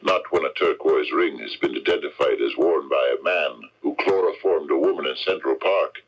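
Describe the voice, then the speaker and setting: Understated detective, very low husky voice, measured questioning, radio drama, dull vintage recording. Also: male voice. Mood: pressing. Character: methodical, quietly probing male detective. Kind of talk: 1970s radio drama acting.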